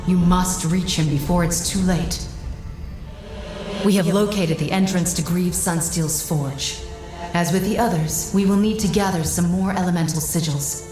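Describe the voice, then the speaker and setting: A solemn, commanding guide voiced by a woman speaks in a slightly low, measured voice. Her grave video game lines echo over music. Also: steady tone, close to an everyday voice. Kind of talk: dramatic video game acting. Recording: echoey, music behind.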